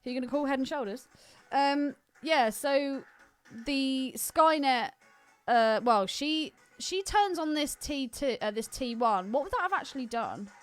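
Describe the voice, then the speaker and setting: A woman's voice: high voice, expressive, measured pace, faint music behind. A British woman co-hosting a film podcast in casual chat, with a cheeky, teasing, bawdy way of talking. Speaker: podcast co-host.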